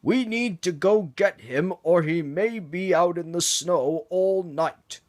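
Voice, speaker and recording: A man's voice: high-pitched, slow, darkish tone, pitched above the performer's own voice. A man voicing a stern, bossy railway controller giving firm orders in a storybook reading. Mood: urgent.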